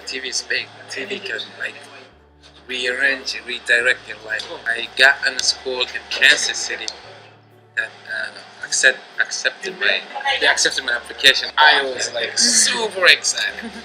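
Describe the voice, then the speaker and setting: A man from Niger telling his story in a documentary interview, speaking haltingly with many restarts, earnest and plain. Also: mid-range voice, background noise.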